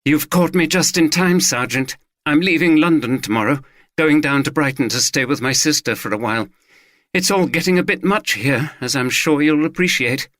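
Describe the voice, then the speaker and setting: A man's voice: a little high, moderate pace, fairly bright tone, no echo, clean studio sound, voice pushed up, lightly acted. A man voicing a polite, weary middle-aged woman.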